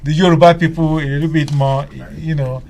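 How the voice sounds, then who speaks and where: A man hosting a live discussion show, speaking haltingly and often repeating phrases as he goes. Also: fairly high pitch, fairly even intonation, a little mellow. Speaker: radio talk show host.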